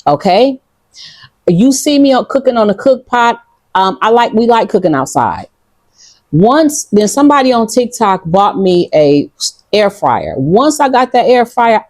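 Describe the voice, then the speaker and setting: A woman's voice: medium pitch, darkish tone, no room sound. A woman from Detroit with a Detroit accent, talking casually and bluntly to her viewers, defiant and profane. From Detroit, United States. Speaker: vlogger. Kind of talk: casual talk to camera. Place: outdoors in Ghana.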